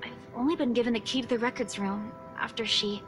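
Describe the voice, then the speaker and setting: A woman's voice: on the high side, fairly even intonation, a little fast, fairly bright tone, soft background music, lightly acted. A woman playing an earnest, anxious young office worker in a visual novel game, pleading and careful, with hesitant delivery. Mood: uneasy, explaining. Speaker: video game voice actor. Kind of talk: dramatic visual novel dialogue.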